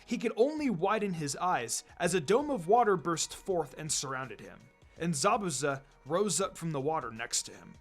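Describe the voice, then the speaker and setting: A man narrates an action story with strongly varied, animated intonation. His voice sits a little high for a man. The reading is dramatic and scripted, with music underneath. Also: normal speed. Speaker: narrator, fan fiction reader.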